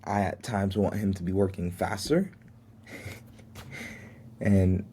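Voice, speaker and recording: Young man, podcast host, Haitian Creole first language, low voice, mellow tone, earnest and reflective. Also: fairly even intonation, slow pace, a little hiss or hum. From United States. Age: 20s. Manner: earnest, faith-filled, chuckles at himself. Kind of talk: solo reflective podcast talk.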